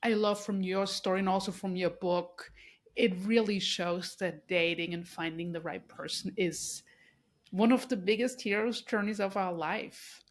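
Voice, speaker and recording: A woman's voice: medium pitch, steady tone, measured pace. Austrian-born woman hosting a podcast. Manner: warm, effusive, many restarts and fillers. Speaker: podcast host. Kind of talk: casual interview conversation.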